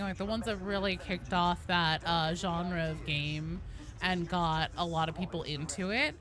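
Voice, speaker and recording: A woman game streamer chatting casually with viewers, informal and sweary, reading and answering their comments as she goes. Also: mid-range voice. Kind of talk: casual chat with viewers while gaming. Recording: faint background noise.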